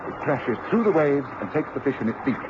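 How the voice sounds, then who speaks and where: An actor playing a brisk, assured detective in a radio drama, explaining with authoritative precision. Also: male voice, medium pitch, big pitch swings, close to an everyday voice. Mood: explaining, intent. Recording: noisy, muffled old radio drama recording.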